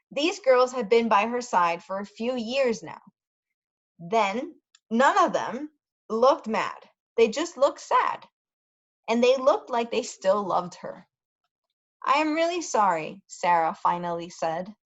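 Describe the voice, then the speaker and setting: Higher-pitched woman reading aloud, muffled audio. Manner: careful, repeats and explains key words. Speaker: literacy tutor reading aloud. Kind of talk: slow read-aloud of a story for English learners, with brief Spanish glosses.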